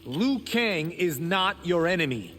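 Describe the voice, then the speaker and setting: A man's voice: high voice, very animated, slow pace, fairly bright tone, long reverb tail, heavily acted. An actor playing a cocky, witty young male monk fighter in a video game, wry and joking in manner. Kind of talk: video game character voice acting.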